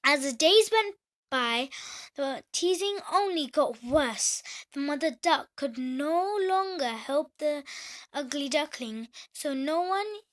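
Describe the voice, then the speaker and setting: A woman reading a children's story aloud as the storyteller, with an expressive delivery. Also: high voice, takes it slowly.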